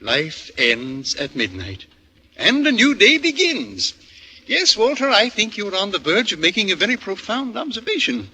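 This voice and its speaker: An actor playing a gentle, lonely old lodger in a 1940s radio drama, polite, hesitant and wordy. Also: male voice, high voice, huge pitch swings, normal speed, breathy edge, exaggerated voice. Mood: genial, resigned. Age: older. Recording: noisy old radio broadcast recording.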